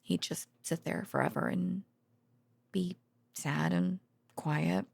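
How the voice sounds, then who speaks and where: Woman acting a breathy, creaky, soothing researcher girlfriend. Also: lowish voice, fairly even intonation, dry recording, exaggerated voice. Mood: soft, sympathetic. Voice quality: soft, breathy, often dropping to a whisper. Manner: hesitant, halting, murmuring. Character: gentle female researcher girlfriend murmuring secret work stories at bedtime. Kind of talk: intimate ASMR audio roleplay, conversational bedtime monologue.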